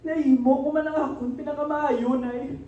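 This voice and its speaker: Actor doing moody hot-tempered filipino student son in a radio play, very high voice, echoey room. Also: steady tone, moderate pace, muffled recording, lightly acted. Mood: resentful, angry. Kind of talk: amateur radio drama acting. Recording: echoey room, distant microphone.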